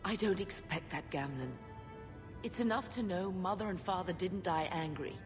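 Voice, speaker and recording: Actress as determined young heroine, slightly low voice, composed, measured, game cutscene, long reverb. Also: female voice, close to an everyday voice. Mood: resolute, calm. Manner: measured, resolute. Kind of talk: video game cutscene acting. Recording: long hall reverb, music behind.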